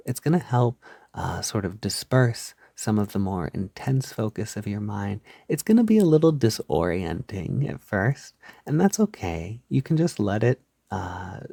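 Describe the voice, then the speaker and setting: A man leading a soft-spoken guided hypnosis, soothing and reassuring, gently repeating his phrases on a close mic. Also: on the low side, vocal fry, dry recording. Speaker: hypnosis audio creator guiding the listener.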